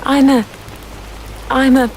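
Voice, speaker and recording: A woman's voice: sits high, little pitch movement, slow pace, a little mellow, a little hiss or hum, voice pushed up, poor audio. A woman voicing an earnest, polite and puzzled little girl in a storybook. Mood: doubtful protest. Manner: doubtful, truthful, mildly protesting.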